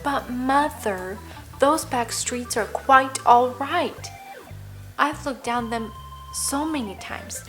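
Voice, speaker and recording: Stubborn little schoolgirl for a storybook, played by a woman: high, unhurried, pouting and argumentative. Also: poor audio. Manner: pouting, reasoning back stubbornly. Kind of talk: children's bedtime story.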